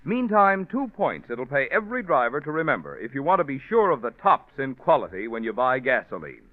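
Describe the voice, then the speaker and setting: Male 1940s sponsor announcer, very animated, emphatic and polished, dry but narrow, muffled period sound. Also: mid-range voice, a little fast, no room sound. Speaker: radio announcer. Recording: muffled, narrow-band old radio recording.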